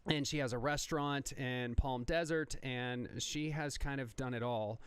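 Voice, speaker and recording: A man hosting a casual podcast interview as a chef, talking in an animated, rambling way with many restarts. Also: medium pitch, a little slow, smooth, no room sound.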